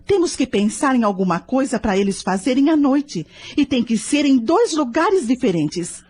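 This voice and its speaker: A fed-up mother in an audio drama. Her high voice is husky and swings expressively as she complains, exasperated. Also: very animated, moderate pace, a little mellow, exaggerated voice.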